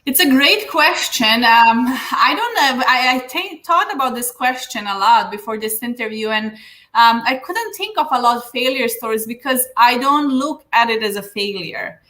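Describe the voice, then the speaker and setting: A woman's voice: a little high, animated, normal speed, bright, forceful tone. A woman from Serbia with a Serbian accent, a business coach giving earnest advice in an interview, with frequent fillers. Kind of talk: interview answers. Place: Dubai.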